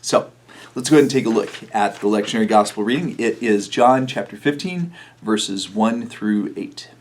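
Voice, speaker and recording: Middle-aged male pastor with a low voice, relaxed storytelling with fillers, for a video sermon. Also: normal speed. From New Mexico, USA.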